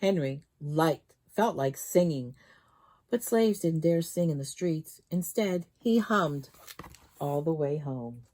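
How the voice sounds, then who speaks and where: A woman storytime host reading a children's book aloud in an expressive, dramatic way that leans on key words. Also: low-pitched, takes it slowly.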